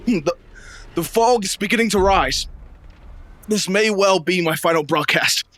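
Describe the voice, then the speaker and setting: Male actor as radio newsman, high-pitched, urgent, halting, grave, vintage radio drama broadcast. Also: expressive, a bit bright, no room sound, exaggerated voice. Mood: faltering, resigned. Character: grave male radio reporter staying at his post amid invasion. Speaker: radio news reporter. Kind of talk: 1930s-style radio drama news broadcast acting.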